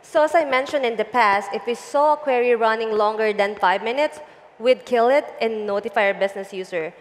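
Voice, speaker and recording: A woman in her thirties explaining a data project in a measured way, using a headset mic on a conference stage. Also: fairly high pitch, in a large hall. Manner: measured, explanatory, some fillers. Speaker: guest, data engineering lead. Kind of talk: onstage tech interview. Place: large conference stage before an audience.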